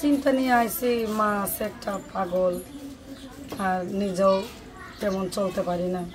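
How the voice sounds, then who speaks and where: A woman's voice: medium pitch, measured pace. An eighteen-year-old woman with a rural central Bangladeshi accent, giving brief, hesitant answers as an interview guest. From Tangail, Bangladesh. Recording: very noisy, loud crowd ambience.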